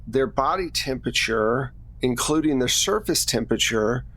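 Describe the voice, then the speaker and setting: A man's voice: mid-range voice, animated, normal speed, fairly bright tone, poor audio. Guy doing a small town coroner in an rpg, matter of fact but troubled, normal mid male voice.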